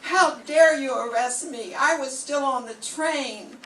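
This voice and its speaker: A woman's voice: high-pitched, slow. An older woman reading the part of a polite young visitor who turns out to be a murderer, in an old-time radio mystery, with eager, exclaiming lines. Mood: eager, dramatic. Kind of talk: 1940s radio mystery acting. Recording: echoey room, distant mic, audible noise.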